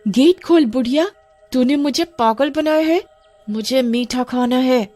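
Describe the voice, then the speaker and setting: A woman voicing a possessed little girl who rudely shouts and demands sweets in a cartoon story. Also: high-pitched, a little slow, over music, voice pushed up. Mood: demanding. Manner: shouting, bossy.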